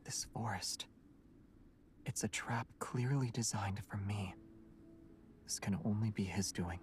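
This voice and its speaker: An actor playing a guarded, secretive young starship captain, speaking softly and in a hush. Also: male voice, on the low side, slow, a little hiss or hum.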